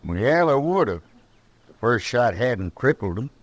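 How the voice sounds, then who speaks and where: A hard gunman of the Old for radio. The voice is mid-pitched and dry. He is blunt, defiant and unrepentant. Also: big pitch swings, slow, no room sound, lo-fi sound. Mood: cold defiance. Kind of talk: old-time radio western acting.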